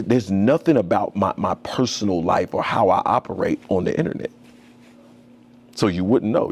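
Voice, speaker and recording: A man's voice: mid-range voice, darkish tone, husky. A young man, a tattoo artist, talking as a podcast guest in a loose, slangy, storytelling way. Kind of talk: casual conversation.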